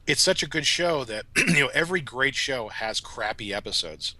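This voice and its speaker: Bright-voiced middle-aged man, closely recorded. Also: medium pitch, lively intonation, normal speed, no echo, poor audio. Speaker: podcast guest. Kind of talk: casual reflective discussion.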